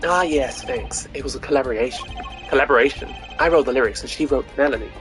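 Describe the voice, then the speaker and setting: Casting: woman as smooth, confident young rocker prosecutor, game role, low pitch, music underneath. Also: fast talker, a bit bright. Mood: smooth, modest. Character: suave, self-assured young male rock-star prosecutor. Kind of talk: hobby voicing of video game dialogue.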